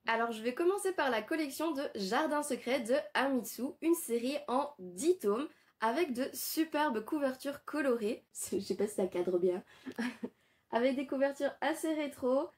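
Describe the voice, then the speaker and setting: A woman with a cold, nasal and hoarse, hosting an eager manga review and reading out story summaries. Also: sits high, animated, moderate pace. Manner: gushing, eager, warm praise. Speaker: manga reviewer and channel host. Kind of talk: enthusiastic book review with read-out summaries.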